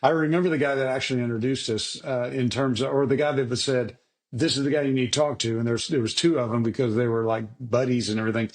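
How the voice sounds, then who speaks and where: A middle-aged man hosts a podcast in a mid-pitched, everyday voice. He is folksy and enthusiastic, often restarting his sentences as he talks. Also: steady tone, fast talker. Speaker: podcast host. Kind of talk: casual interview chat.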